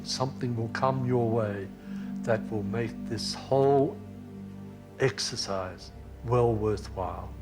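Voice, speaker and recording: A man speaks in a confessional interview, low and very slow. His delivery is halting and downbeat, broken into short pieces, with music clearly audible behind him.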